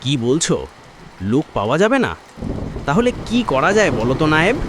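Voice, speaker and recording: A man's voice: a little high, very animated, a little fast. A man voicing a kindly, anxious village landlord in a cartoon. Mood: questioning. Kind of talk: animated cartoon dialogue. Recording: clear background noise.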